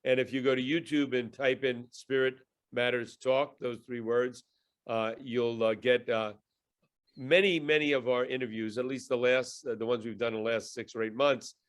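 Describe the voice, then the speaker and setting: A man of middle pitch hosts a podcast and introduces the show and its guest. He rambles and fills his pauses with many "uh"s. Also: fairly even intonation. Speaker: podcast host.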